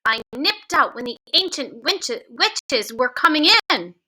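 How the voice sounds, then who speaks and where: A woman reading aloud plays an eager little boy, excited and breathless, in a very high, bright voice. A storybook reading. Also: unhurried, slightly rough, full character voice.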